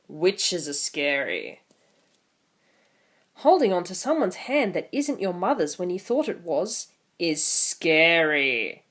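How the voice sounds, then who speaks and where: A woman's voice: lowish voice, sing-song, takes it slowly, a bit bright. A woman in her twenties hosting an online video, talking casually and reading a picture book aloud with theatrical emphasis. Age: 20s.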